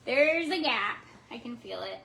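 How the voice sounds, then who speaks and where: A young woman with a high, bright voice talks loosely through a home craft project, narrating each step to viewers. She chats as she works, drifting off and restarting her sentences, and her speech carries a slight lisp. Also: lively intonation, unhurried, gravelly, slight background noise. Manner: chatty, talks while working, trails off. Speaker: craft vlogger. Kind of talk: casual live craft chat.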